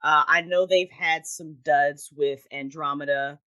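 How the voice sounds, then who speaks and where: A woman chatting casually as an interview guest, animated and enthusiastic like a devoted fan. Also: low-pitched, a little slow. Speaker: podcast guest, writer and audio drama creator.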